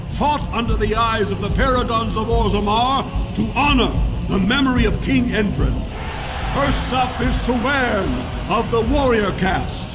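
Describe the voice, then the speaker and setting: An actor playing an officious dwarf master of arena fights in a video game, formal in his delivery. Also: very high-pitched. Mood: loud, ceremonial. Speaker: arena announcer and registrar. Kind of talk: video game dialogue acting. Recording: long reverb, music behind.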